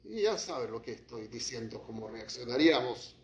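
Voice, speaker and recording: A man preaching a sermon as pastor, shifting from quiet, low passages to raised, heated lines. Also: lively intonation, normal speed. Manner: impassioned preaching, drops to quiet, then rises to acted outbursts. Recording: echoey hall, muffled lo-fi sound.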